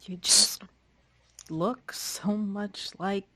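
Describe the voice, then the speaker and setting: A woman voicing an earnest, apologetic female survivor in an improvised tabletop role-play, speaking haltingly. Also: mid-range voice, steady tone, pushed and bright, no room sound, full character voice. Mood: hesitant, apologetic. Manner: halting, hesitant.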